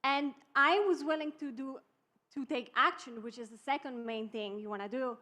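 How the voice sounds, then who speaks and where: A woman's voice: high voice, moderate pace, bright, forceful tone. A woman in her twenties from Europe telling her career story as a guest speaker. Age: 20s. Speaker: summit guest, network marketer. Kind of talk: testimonial storytelling.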